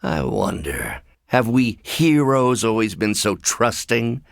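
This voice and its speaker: An actor playing a brainy, anxious middle-aged American scientist superhero, speaking in a measured, hesitant and wry way in video game dialogue. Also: male voice, on the low side, very animated, vocal fry, no echo, clean studio sound, exaggerated voice. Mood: regretful, grave.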